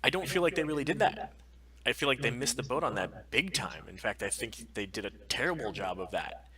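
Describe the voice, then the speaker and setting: A man's voice: mid-range voice, very animated, fairly quick, distant mic, a crowd in the background. A man co-hosting a casual livestream podcast, talking emphatically and freely. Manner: emphatic, frequent swearing. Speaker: podcast co-host.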